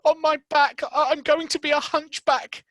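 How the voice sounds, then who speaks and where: A storyteller voicing a spoiled, sickly young boy with a shrill, whining voice. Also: takes it slowly, sharp tone, no echo, heavily acted. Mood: whiny. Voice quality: shrill, whiny.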